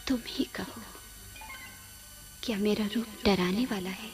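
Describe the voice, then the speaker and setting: An actress in a radio play playing a sorrowful, seductive female ghost, pleading in slow, half-whispered phrases. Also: mid-range voice, a little mellow, close to an everyday voice. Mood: yearning, pleading. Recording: music under the voice.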